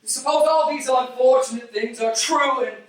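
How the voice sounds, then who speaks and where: A man playing a shabby, impassioned, self-deluding writer on stage, with florid, halting theatrical speech. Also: very high-pitched. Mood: pleading, defensive. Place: small theater studio, live performance. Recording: echoey stage, distant mic.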